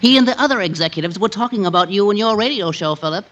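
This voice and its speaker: A man's voice: sits high, lively intonation, quick pace, a bit bright. A man playing a prim, smug, needling brother-in-law in a prissy, condescending way for a 1940s radio comedy, on a noisy recording.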